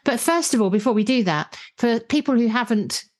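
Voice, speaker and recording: A woman's voice: on the high side, lively intonation, brisk, darkish tone. A woman speaking as a podcast host, introducing and interviewing in an articulate, explanatory way.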